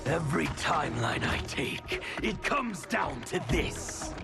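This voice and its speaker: A gravelly chaos-loving villain. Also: male voice, fairly high pitch, very animated, takes it slowly, loud music behind the voice, heavily acted. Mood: taunting. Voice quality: gravelly, theatrical. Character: gleeful chaos-worshipping male villain. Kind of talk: video game cutscene acting. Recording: game cutscene over loud score.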